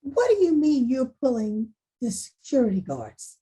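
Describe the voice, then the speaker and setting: An exasperated female school principal, acted by a woman at a table read. Her voice sits high and swings widely, with a rough husky edge. She sounds forceful and defensive. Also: huge pitch swings, measured pace, exaggerated voice, low-quality recording. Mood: indignant. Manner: forceful, indignant questioning. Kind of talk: screenplay table read acting.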